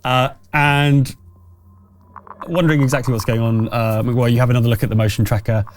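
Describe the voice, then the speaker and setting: A man's voice: mid-range voice, steady tone, a little fast, slight background noise. A man acting as game master, narrating a tabletop game in a conversational, slightly hesitant way.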